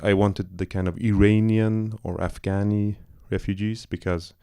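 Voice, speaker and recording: A man's voice: lowish voice, little pitch movement, normal speed, mellow, smooth. A man from Iran, a film director, talking loosely in a post-screening Q&A, searching for words with many fillers and restarts. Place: cinema auditorium.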